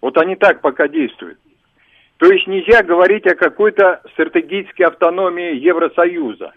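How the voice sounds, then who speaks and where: A man's voice: high-pitched, normal speed, no echo. A man calling in to a radio show, making his argument in an emphatic, lecturing way. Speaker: caller. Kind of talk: phone-in commentary. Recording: dry, dull phone line.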